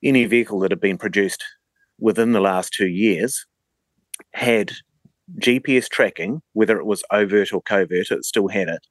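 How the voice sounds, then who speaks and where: A man's voice: deep voice, no room sound. A man with a New Zealand accent telling anecdotes in a casual radio conversation as a guest.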